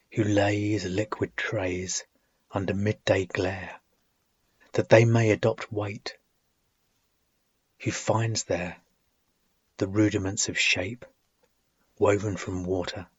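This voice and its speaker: A man giving a solemn poetry reading, deliberate and measured, with dramatic phrasing, long pauses and husky, breathy phrase endings. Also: lowish voice, steady tone, dry recording, dull audio. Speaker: poet reading own work.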